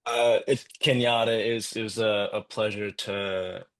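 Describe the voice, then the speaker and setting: A man, an actor, making casual remarks in a halting, earnest way. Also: on the low side, fairly even intonation, a bit bright. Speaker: actor from a script read.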